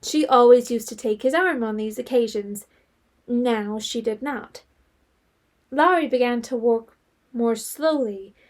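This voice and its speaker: Female narrator, highish pitch, scripted literary storytelling, audio drama. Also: measured pace.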